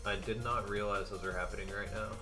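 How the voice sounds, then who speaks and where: A man chatting casually on a live stream, reading out chat and muttering to himself as he works, on a desk mic on a boom arm at a home desk. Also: fast talker. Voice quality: deep voice. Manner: relaxed, muttering to himself while solving. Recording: music playing behind.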